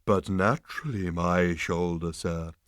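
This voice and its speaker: A man voicing a polite, placid bovine creature that offers itself as dinner, in slow, soft, courteous tones. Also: deep voice, fairly even intonation, no echo, clean studio sound.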